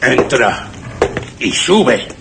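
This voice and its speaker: A man's voice: medium pitch, big pitch swings, very slow, vocal fry, background noise, heavily acted. An actor playing a menacing, commanding armed man in a horror radio drama, insistent and obsessed.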